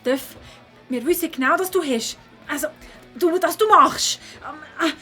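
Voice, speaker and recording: A female performer playing a bold, adventurous schoolgirl detective in a children's audio drama, lively and coaxing, with a Swiss German accent. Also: high-pitched, unhurried, faint music behind. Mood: accusing.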